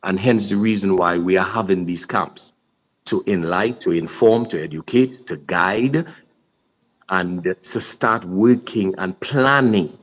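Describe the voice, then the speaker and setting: A man's voice: sits low, unhurried, rough recording. A man from Trinidad answering audience questions at a sports symposium, earnest and explanatory, often restarting his words. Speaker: basketball program speaker.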